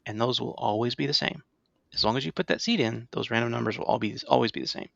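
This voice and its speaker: A man's voice: mid-range voice, quick pace, gravelly, a bit bright, no echo, highs cut off. A middle-aged man co-hosting a podcast, explaining things in casual chat and often checking in with the listener. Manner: conversational, checks in with "right?". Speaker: podcast co-host.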